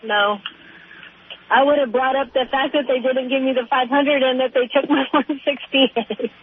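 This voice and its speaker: Over a thin, narrow telephone line, a woman phones in to a talk radio show. Her voice is high and slightly husky, and she talks casually in an agreeable way. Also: steady tone, moderate pace.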